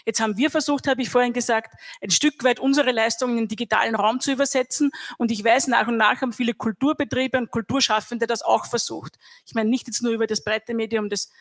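Austrian woman, middle-aged, flat steady pitch, reflective panel talk, handheld mic on stage. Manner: measured, reflective, even delivery. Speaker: panel guest, academy head. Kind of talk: panel discussion.

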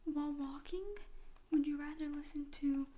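A young woman speaking in a breathy, mostly whispered ASMR style, gently and informally asking questions as if interviewing a friend. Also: high voice, fairly even intonation, moderate pace. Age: young adult. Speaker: ASMR host. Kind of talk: soft-spoken ASMR friend interview roleplay. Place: small room at home, indoors.

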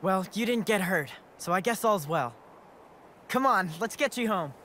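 A man's voice: high voice, expressive, moderate pace, bright, forceful tone, slight background noise. An actor playing a kind, confident young male monster rider in a video game. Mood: confident, reassuring. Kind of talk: video game cutscene acting.

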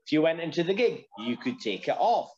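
A man's voice: fairly high pitch, big pitch swings, fairly quick, darkish tone. A middle-aged man telling stories emphatically in a casual chat over a lo-fi video call. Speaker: guest, bar and venue owner. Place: stone-walled room in a bar.

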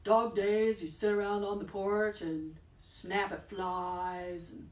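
A woman's voice: mid-range voice, little pitch movement, takes it slowly, echoey room, distant mic, natural voice. Woman voicing a lazy, silly puppet dog. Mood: playful.

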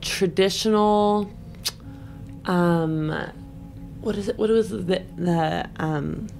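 A woman's voice: mid-range voice, slow pace, creaky, darkish tone. A woman talking casually as a podcast guest, informal and thinking aloud. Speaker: podcast guest and game player. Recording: background music under the voice.

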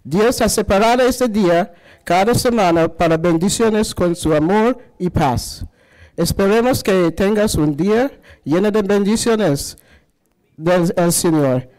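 A man's voice: high-pitched, moderate pace, a little mellow, low-quality recording. A man at the front of a church, giving a short welcome announcement to Spanish-speaking visitors. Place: church sanctuary.

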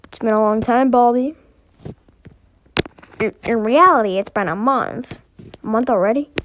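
High female voice, amateur cartoon skit, character dialogue read by one performer. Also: unhurried, fairly bright tone, a little hiss or hum. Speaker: amateur voice actor reading all characters. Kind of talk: amateur animated skit voice acting.

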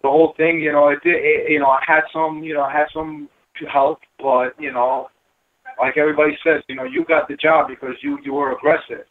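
A man's voice: on the high side, little pitch movement, a little fast. A middle-aged man talking casually and earnestly as an interview guest, peppering his speech with "you know". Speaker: guest, building engineer. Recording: thin phone-line sound.

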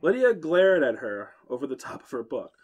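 A young man hosting a podcast, reading a horror story aloud to friends and pitching up for the girls' lines. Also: very animated, moderate pace, slightly rough, highs cut off.

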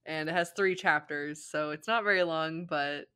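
A woman's voice: sits low, normal speed, sharp tone, clear, resonant tone. A young woman reading fan fiction aloud as a co-host, casually and theatrically, with playful, giggly banter.

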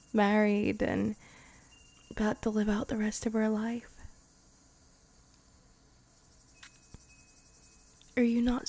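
A woman voicing a loving young vampire girlfriend in an intimate, improvised ASMR roleplay, tender and hushed, often slipping into whispers, close on the microphone. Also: a little high, gravelly, slightly rough, no echo, exaggerated voice. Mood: tender, wistful. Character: loving young vampire girlfriend, playful yet afraid of loss.

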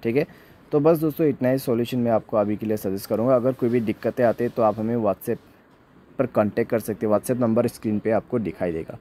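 A man's voice: medium pitch, fast, dark tone. A man giving a step-by-step phone troubleshooting tutorial as a voice-over in an instructional way. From India. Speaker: tech tutorial host.

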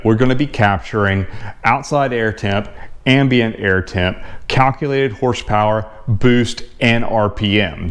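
Guy in his 40s, lowish voice, breaking down intake test numbers in his garage, steady and matter-of-fact. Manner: measured, technical, plain-spoken. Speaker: car channel host. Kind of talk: explaining test results to camera. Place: home garage, indoors.

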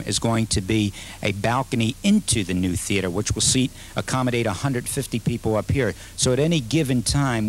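A middle-aged restaurant manager interviewed on camera, rambling with many fillers and restarts. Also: male voice, lowish voice, slight background noise, poor audio. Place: indoor dinner theater stage. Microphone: handheld mic.

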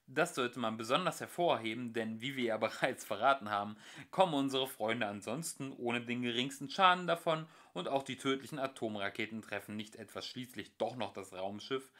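A young man narrating a home-made audiobook with lively, expressive storytelling. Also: mid-range voice, moderate pace. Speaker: audiobook reader. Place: home recording.

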